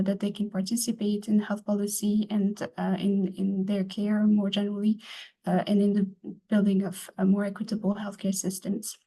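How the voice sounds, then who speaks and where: A woman's voice: medium pitch, dark tone, poor audio. A woman representing a patient organization, presenting formally on a webinar with frequent fillers. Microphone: video call.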